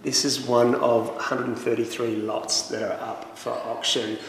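Man, 30s, gravelly, casual promo chat, recorded from a distance in a roomy cellar. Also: mid-range voice, little pitch movement, unhurried. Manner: offhand, chatty, fumbles and restarts. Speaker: wine promoter. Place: indoor wine cellar with barrels. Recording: echoey roomy hall, faint noise.

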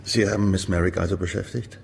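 A restrained amateur detective in a radio drama. He has a deep voice with a creaky edge and probes politely. The room has a long reverb. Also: fairly even intonation, fast talker, darkish tone. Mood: polite, insistent. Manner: questioning, restrained. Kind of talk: German radio crime drama acting. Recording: reverberant room, faint noise.

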